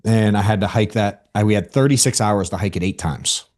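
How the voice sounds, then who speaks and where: Man, 40s, podcast host. Slightly low voice, relaxed and chatty, keeps the talk loose. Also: a little fast. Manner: laid-back, lots of short yeahs, says bro. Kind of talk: casual podcast interview.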